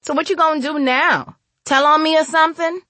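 Woman voicing a shrill, snotty young girl. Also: steady tone, brisk, sharp tone, dry recording. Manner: slangy, defiant, exclamatory.

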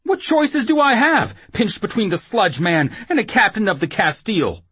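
A man's voice: sits high, sing-song, normal speed, fairly bright tone, husky, dry recording, pitched above the performer's own voice, heavily acted. A man voicing a frightened brothel keeper in an audiobook, babbling breathlessly and pleading with feeble, sour defiance. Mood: desperate rambling. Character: frightened whoremaster, rambling with feeble rage and foul-mouthed pleading.

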